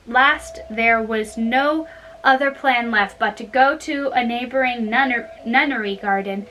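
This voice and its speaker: Bright-voiced woman reading fairy tales. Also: on the high side, measured pace, rough recording. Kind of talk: storybook reading with casual asides. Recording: noticeable background noise.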